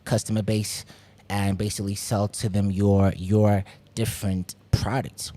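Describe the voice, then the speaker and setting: A South African man in his twenties, a guest explaining his agency in a relaxed way. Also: lowish voice, a little slow. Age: 20s. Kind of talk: casual interview talk.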